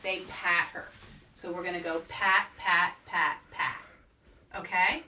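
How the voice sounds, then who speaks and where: A woman hosting a children's story time as an author, giving simple, encouraging call-and-response instructions with animated, repeated action words. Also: on the low side, slow pace. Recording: roomy echo, distant microphone.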